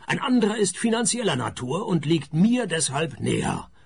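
A sardonic private eye in a radio drama. His creaky voice rides up and down in pitch as he narrates with dry, weary sarcasm. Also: sing-song, moderate pace, exaggerated voice. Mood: wry, weary. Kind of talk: radio play narration and dialogue.

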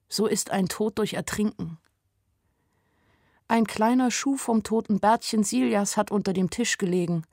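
A woman's voice: mid-range voice, gravelly, no echo, studio quality. An actress playing a young shopgirl in love, narrating a radio play in a plain, reflective, anxious and melancholy way. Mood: anxious, sad.

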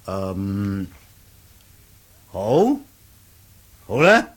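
A man's voice: low-pitched, wildly swooping pitch, heavily acted. An actor playing a hesitant but pompous male martial-sect chief in a Cantonese radio drama, hemming and drawing out words. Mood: lordly. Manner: hemming, drawn-out, indecisive yet lordly.

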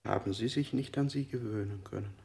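A man's voice: lowish voice, brisk, vocal fry, a little mellow, a little hiss or hum. An actor playing a cold male interrogator in a radio drama, firing unsettling questions with sudden leaps in his voice. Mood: probing.

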